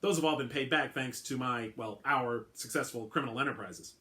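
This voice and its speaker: A man's voice: mid-range voice, fairly quick, bright, forceful tone, natural voice. A man voicing a middle-aged male clone henchman, earnest and rattled, who keeps correcting himself as he confesses in a story reading. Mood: confessing. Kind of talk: dramatic reading of a short story.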